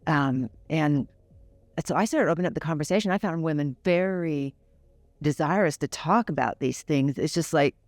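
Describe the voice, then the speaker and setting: A woman's voice: sits low, moderate pace, darkish tone, dry recording. A woman in her sixties talking openly as a patient guest on a podcast. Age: 60s. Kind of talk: candid podcast conversation.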